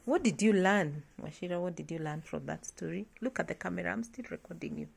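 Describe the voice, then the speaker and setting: A woman's voice: on the low side, expressive, quick pace, creaky, breathy edge. A woman speaking casually as a parent teaching at home, prompting and asking questions in an attentive, encouraging way. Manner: attentive, encouraging, often murmuring agreement.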